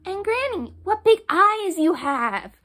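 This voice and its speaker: A woman's voice: extremely high pitch, very animated, takes it slowly, a bit bright, voice pushed up, exaggerated voice. A woman voicing an innocent, curious little storybook girl with an earnest, childlike, polite manner. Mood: wide-eyed.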